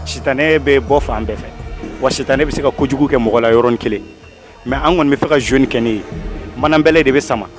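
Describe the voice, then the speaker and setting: A young man hosting a casual street game outdoors, repeating phrases for emphasis. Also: mid-range voice. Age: young adult. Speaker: street interview host. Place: outdoors in urban courtyards and streets. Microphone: small handheld lapel mic. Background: music.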